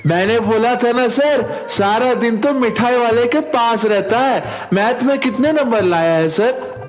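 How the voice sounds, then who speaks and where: A man's voice: very high voice, a little slow, faint music behind. A man voicing an earnest, complaining young schoolboy in a cartoon. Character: tattling, teasing young schoolboys and a gentle food-loving boy. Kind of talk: Hindi cartoon dialogue.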